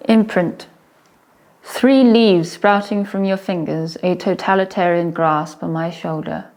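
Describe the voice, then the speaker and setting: A woman reciting poetry in short, measured phrases with deliberate pauses between them. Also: on the low side, darkish tone.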